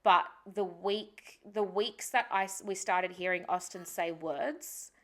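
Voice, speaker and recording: A woman's voice: on the low side, slow. Candid, sweary native Spanish-speaking woman. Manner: sweary, reflective, thinking aloud. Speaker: podcast co-host. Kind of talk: candid casual podcast chat.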